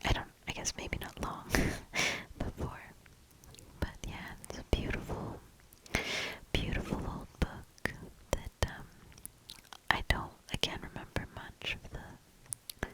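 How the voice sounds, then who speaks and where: A woman doing soft ASMR storybook reading and quiet commentary in a breathy, gentle, hushed whisper. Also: poor audio. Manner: slow, gentle, hushed delivery.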